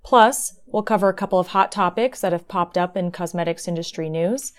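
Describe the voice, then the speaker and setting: A woman's voice: lowish voice, brisk. A woman in her thirties hosting a podcast, opening the show and chatting in an upbeat, organized way. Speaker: host. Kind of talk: scripted podcast intro and casual chat.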